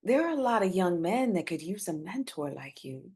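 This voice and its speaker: A woman's voice: lowish voice, sing-song, normal speed, mellow, breathy edge, full character voice. A woman playing a guarded, burdened wife and determined community organizer in a screenplay reading. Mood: coaxing. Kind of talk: live staged screenplay reading.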